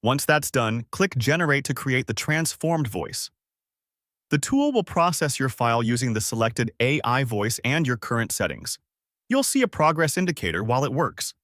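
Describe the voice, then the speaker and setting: A man narrates a scripted step-by-step software tutorial in an orderly, explanatory, instructional way, as a close voice-over. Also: medium pitch, sing-song, moderate pace, no room sound.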